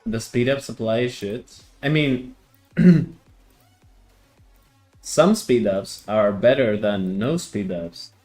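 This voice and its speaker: Male game streamer from Greece, unhurried and halting, restarts his words a lot, soft music behind. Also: mid-range voice. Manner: hesitant, repeats words, answers chat. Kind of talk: casual live-stream chat.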